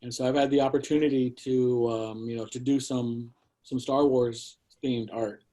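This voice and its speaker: A middle-aged man speaks over a video call from a small studio shed. His tone is mellow and unhurried, and he wanders through his thoughts with plenty of fillers and restarts. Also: mid-range voice, steady tone. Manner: rambling, many fillers and restarts. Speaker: guest artist. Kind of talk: casual conversational talk.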